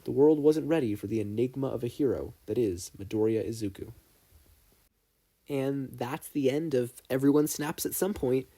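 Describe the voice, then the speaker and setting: A man's voice: medium pitch, normal speed, mellow. A man reading a fan fiction story aloud as an amateur narrator. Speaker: podfic narrator.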